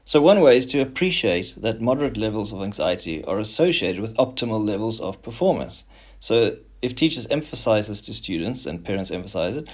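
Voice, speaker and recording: A man hosting a podcast, presenting in a scripted narration style. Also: medium pitch. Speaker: podcast host.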